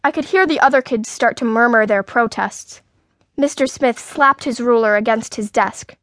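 A woman's voice: on the high side, normal speed, fairly bright tone, dry recording. A woman reading a children's story in the first person, as an indignant, outspoken sixth-grade girl. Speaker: audiobook narrator.

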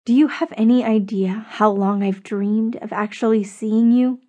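Lady narrator doing a tough caring woman in a novel, emphatic and earnest, voice a bit higher. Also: moderate pace, a little mellow, highs cut off, close to an everyday voice. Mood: fond. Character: strong, tough, emotional woman, fiercely loyal.

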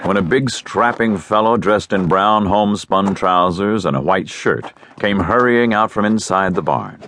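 A man narrating a scripted western story in a measured storytelling manner. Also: low-pitched, fairly bright tone, slight background noise. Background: guitar music.

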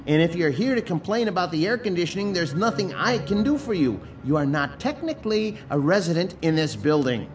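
An actor playing a grumbling, sarcastic, world-weary landlord in an audio drama, wisecracking and exasperated. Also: male voice, high-pitched, very animated, a little fast, hardly any pauses, faint music behind. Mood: grouchy, wary.

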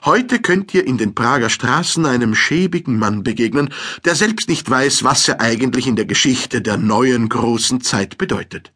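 A man with a husky voice reading literary narration in a measured storytelling manner. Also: medium pitch, animated, sharp tone.

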